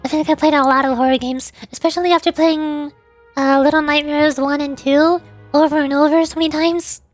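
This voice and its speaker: High-voiced woman chatting casually on stream. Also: fairly even intonation, a little fast, soft background music. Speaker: VTuber livestreamer. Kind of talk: casual livestream chat answering viewers.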